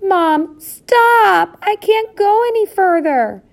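Woman doing a tiny, high, piping mouse child. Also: expressive, slow pace, creaky, full character voice. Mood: whining. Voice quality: small, piping.

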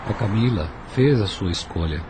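A man playing a stern, spiritually minded family man, grave and measured, in a radio soap opera. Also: lowish voice, expressive, natural voice. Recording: noisy audio with ambient sound.

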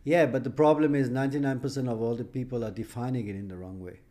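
A man's voice: medium pitch, steady tone, fast, dark tone. A middle-aged man from Iran, English speaker, giving emphatic, opinionated answers as a podcast guest and often ending with a questioning "no?". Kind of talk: podcast interview.

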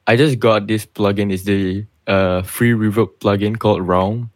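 A man hosting a casual group call, talking in a relaxed, hesitant way with many pauses. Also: fairly low pitch, no echo. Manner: relaxed, hesitant, soft-spoken. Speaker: podcast host, music producer.

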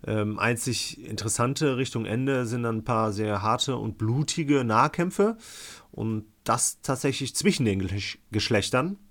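A man's voice: lowish voice, fairly bright tone. A man giving a casual, colloquial film review as a podcast co-host.

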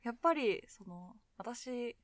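A woman's voice: fairly high pitch, animated, takes it slowly, no echo. A woman co-hosting a podcast in casual chat, self-deprecating and apologetic in manner. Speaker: podcast co-host, amateur voice drama maker. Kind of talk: casual group chat among friends.

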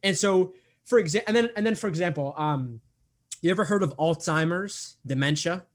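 A man's voice: a little high, normal speed. A man in his twenties talking earnestly in an interview, repeating himself often and filling gaps with "um" and "like". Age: 20s. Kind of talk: casual interview talk.

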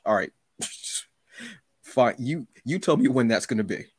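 A man's voice: medium pitch, sing-song, slow pace, slightly rough, dry recording. A man hosting a podcast in a casual chat, speaking emphatically and acting out little quoted remarks. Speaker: podcast host.

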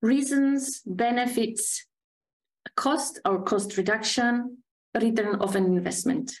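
A woman co-hosting a casual work podcast, emphatic and quick to laugh. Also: a little high. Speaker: podcast co-host. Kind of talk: casual chat.

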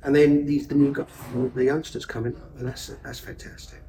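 A man talks loosely and eagerly about his theatre work. He rambles, restarts his sentences and piles on fillers. His pitch sits in an ordinary middle range. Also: little pitch movement, a little fast, warm tone. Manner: enthusiastic, rambling, self-interrupting with fillers. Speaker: actor and stage director, podcast guest. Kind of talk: casual conversational interview.

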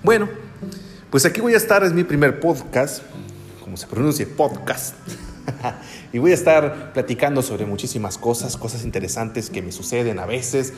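A man's voice: medium pitch, expressive, moderate pace, soft background music. Chatty Sinaloan man, echoey room. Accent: northwestern Mexican Spanish (Sinaloa). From Sinaloa, Mexico. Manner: friendly, rambling, with fillers and laughs. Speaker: podcast host, radio announcer. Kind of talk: casual first-person podcast chat.

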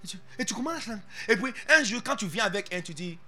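Lively high-voiced preacher, faint music. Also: male voice, quick pace. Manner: animated, playful preaching with English interjections. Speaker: pastor. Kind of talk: sermon.

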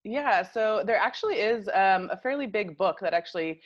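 A woman's voice: medium pitch, animated, normal speed, dull audio. A woman in her thirties with an American accent, chatting casually as an interview guest with plenty of ums and uhs. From United States. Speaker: wine industry guest.

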